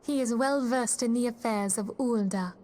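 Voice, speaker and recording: An actress plays a fantasy game's leader of a secret order, a woman with a fairly high voice. She speaks formally and courteously, in measured phrases, with composed authority. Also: dark tone, close to an everyday voice. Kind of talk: video game cutscene acting.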